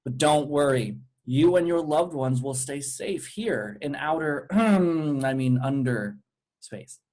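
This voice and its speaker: A smug evil twin from outer space, acted by a man in a comedy table read. The voice sits a little high for a male and is flippant and sarcastic. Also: expressive, takes it slowly, a little mellow. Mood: flippant menace.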